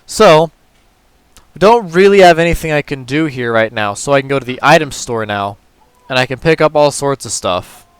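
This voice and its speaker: A man with a hoarse, tired voice, casually talking through a puzzle game move by move as a gaming channel host. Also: mid-range voice, normal speed, no room sound. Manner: relaxed, talks through moves step by step. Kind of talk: casual gameplay commentary.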